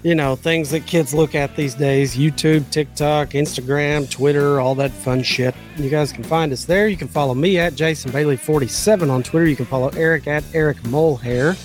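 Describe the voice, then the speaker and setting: A man's voice: fairly high pitch, steady tone, moderate pace, hardly any pauses, soft background music. A man co-hosting a sports betting podcast, laid-back and casually swearing, a bit under the weather. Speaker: podcast co-host.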